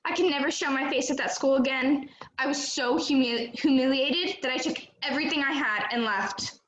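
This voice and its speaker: A young woman in an amateur stage play, acting a melodramatic, chatty runaway high school senior who tells her story earnestly. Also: normal speed, fairly bright tone, slight background noise. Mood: dramatic, confiding. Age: young adult. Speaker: student actor in a play. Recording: roomy, echoing sound.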